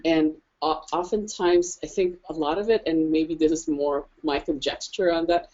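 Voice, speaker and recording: Woman, deep mellow tone, halting thoughtful speech, lo-fi audio. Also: fairly even intonation. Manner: thoughtful, hesitant with many restarts. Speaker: panelist. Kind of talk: conversational discussion.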